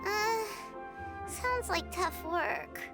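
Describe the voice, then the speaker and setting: A woman voicing a tiny, childlike companion sprite in a video game, curious and blunt, with a squeaky voice. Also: very slow, over loud music, exaggerated voice. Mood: puzzled. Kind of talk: scripted English video game voice acting.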